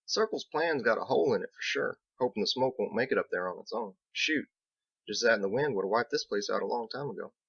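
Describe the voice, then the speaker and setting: A man's voice: on the low side, animated, fast, gravelly, fairly bright tone, husky, no room sound, muffled recording, exaggerated voice. A man voicing a folksy, plain-spoken mason in an audiobook, drawling rustically with dropped words. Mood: candid, folksy. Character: Biggs, folksy plain-spoken male mason, friendly and shrugging. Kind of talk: audiobook character dialogue.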